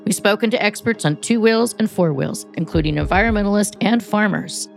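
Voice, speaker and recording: A woman hosting a podcast, reading a scripted introduction in a measured, announcer-like way and interviewing a guest. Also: medium pitch, vocal fry, soft background music. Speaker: podcast host.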